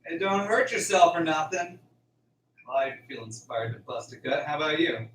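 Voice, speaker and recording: Radio play: man as a casual, joking young farm worker, slightly high voice, some way from the mic. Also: lively intonation, normal speed, a bit bright. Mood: sarcastic. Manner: casual, joking, mock-scholarly at times. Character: chatty, easygoing, joking young male farmhand. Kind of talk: amateur radio drama acting. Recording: roomy, distant, clear background noise.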